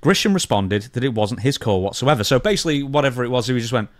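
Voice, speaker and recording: A man's voice: mid-range voice, lively intonation, fast talker, no room sound, studio quality. A British man co-hosting a podcast, chatting casually and bluntly through news stories in slangy language. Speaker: podcast co-host.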